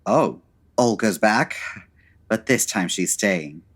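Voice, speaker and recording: An actor playing a suave, unflappable gentleman detective in an old-time radio style comedy, with dry, urbane, formal wording. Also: medium pitch, expressive, slow pace, breathy edge. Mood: composed.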